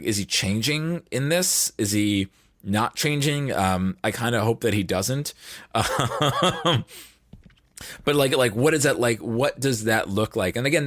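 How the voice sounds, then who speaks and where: A man with a fairly low voice, recorded close and dry. He chats casually as a podcast guest, wandering through his thoughts as he goes. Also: a bit bright, no echo. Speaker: podcast guest, audio drama producer. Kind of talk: casual chat.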